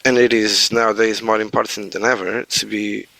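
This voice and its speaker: Hesitant man, gravelly. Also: fairly low pitch, level tone, moderate pace, sharp tone, dry recording, rough recording. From Portugal. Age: 40s. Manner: hesitant, with many fillers and restarts. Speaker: podcast guest. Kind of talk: casual interview talk.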